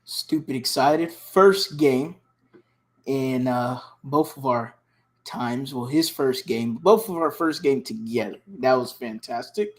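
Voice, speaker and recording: A man co-hosting a sports podcast. His voice is raspy and hoarse from cheering, and he talks in an excited, rambling way, often restarting his sentences. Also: medium pitch, takes it slowly. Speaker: podcast co-host. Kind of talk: casual sports podcast chat.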